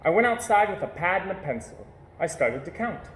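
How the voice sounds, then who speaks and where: A man reading a children's picture book aloud with gentle, measured storytelling. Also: sits high, very animated. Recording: echoey room with faint hiss.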